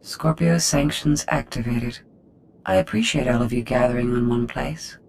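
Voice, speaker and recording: Game villain: male actor as cold AI program, mid-pitched, precise and menacing, noisy background. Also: a little mellow, close to an everyday voice. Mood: cold menace. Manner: measured, formal, clinical menace. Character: cold sadistic artificial intelligence security program.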